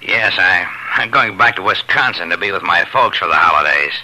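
An actor playing an awkward, polite, self-effacing man in a 1950s radio drama, speaking haltingly on a vintage recording. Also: low-pitched, lively intonation, sharp tone, exaggerated voice, rough recording. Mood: downcast, polite. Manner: hesitant, halting.

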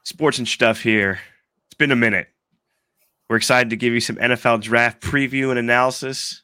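A man's voice: medium pitch, fairly even intonation, moderate pace, bright, forceful tone, no room sound, clean studio sound. Congested man hosting a sports podcast. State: congested from allergies. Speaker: podcast host. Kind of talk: casual sports chat.

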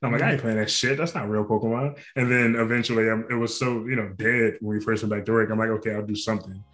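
A man's voice: fairly low pitch, fairly even intonation, fast, fairly bright tone. A man hosting a casual podcast, swearing freely and laughing often. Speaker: podcast host. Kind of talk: casual chat.

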